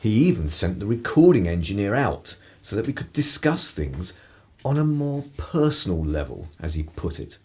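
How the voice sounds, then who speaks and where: A man acting a cynical 1930s English broadcaster for radio drama. His voice is low and husky, swinging widely in pitch as he delivers world-weary, mischievous mockery in upper-class phrases. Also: very animated, normal speed, a little mellow. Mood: sly, confiding.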